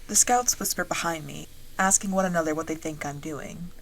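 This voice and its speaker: Measured, low-key dragon-riding soldier. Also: female voice, vocal fry, poor audio. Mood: composed, watchful. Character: wry, battle-trained fantasy woman soldier who rides dragons. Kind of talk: first-person audio drama narration.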